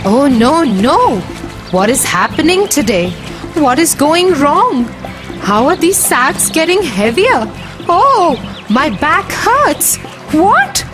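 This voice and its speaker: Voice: sits high, big pitch swings, takes it slowly, over music, voice pushed up, full character voice. A storyteller voicing a lazy, whining horse in a dramatic, wailing way for a children's story. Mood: panicked, pained. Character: lazy, whining male horse struggling under heavy sacks.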